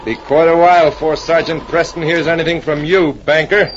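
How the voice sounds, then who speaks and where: An actor playing a gruff, menacing bank robber who gives curt threats in frontier slang, in 1940s radio style. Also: male voice, a little high, fairly even intonation, brisk, lightly acted. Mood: cold, threatening. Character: gruff, menacing male bank-robbing gang leader. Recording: dull, hissy 1940s radio recording.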